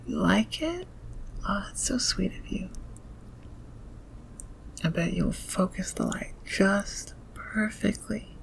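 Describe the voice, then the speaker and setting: A woman's voice: medium pitch, sing-song, takes it slowly, vocal fry, pauses often, heavily acted, low-quality recording. A woman playing an eager, teasing thief inventor who dotes on gems and machines in an ASMR audio roleplay. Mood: doting whisper. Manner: doting, murmuring to gadgets and gemstones.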